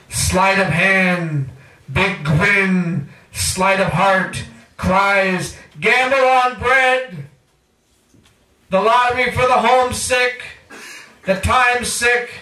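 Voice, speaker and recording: A man's voice: high voice, sharp tone. A man reciting poetry live in an incantatory way, drawing out and repeating his phrases. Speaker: featured poet at a reading. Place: indoor cafe poetry reading. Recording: echoey room, distant microphone.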